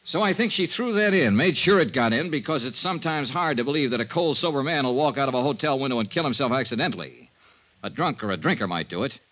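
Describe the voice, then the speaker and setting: An actor playing a shrewd, persistent insurance investigator in a 1950s radio drama, probing and wry. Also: male voice, mid-range voice, a bit bright, natural voice.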